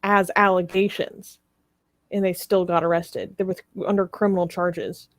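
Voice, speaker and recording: A woman co-hosting a casual news discussion, speaking haltingly and carefully qualifying what she says. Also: fairly low pitch, little pitch movement, dry recording. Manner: hesitant, careful to qualify claims. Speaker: talk show co-host.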